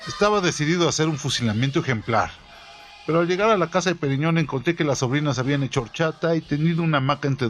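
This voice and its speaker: A man reading a first-person story aloud in a steady storytelling manner, his voice falling low at the ends of phrases. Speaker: narrator. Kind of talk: scripted audiobook narration.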